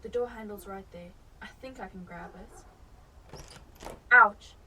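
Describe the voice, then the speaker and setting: A woman's voice: mid-range voice, slow, close to an everyday voice. A woman acting a nervous, greedy burglar muttering to herself in a radio drama. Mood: nervous, furtive. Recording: distant mic with faint background noise.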